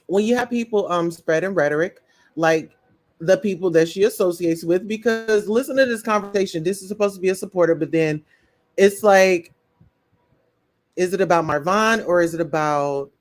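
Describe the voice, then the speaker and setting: A woman's voice: on the low side, normal speed. A woman hosting a live-stream commentary show, outspoken and talking straight to her chat viewers. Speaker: livestream commentary host.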